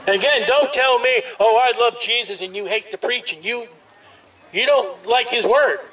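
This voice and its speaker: A man preaching outdoors through a portable speaker, loud and emphatic, with drawn-out hammering phrases. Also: very high voice, a faint crowd. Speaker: street preacher. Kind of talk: amplified open-air preaching. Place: outdoors at a busy street festival. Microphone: amplified through a portable speaker. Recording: distant outdoor recording with ambient noise.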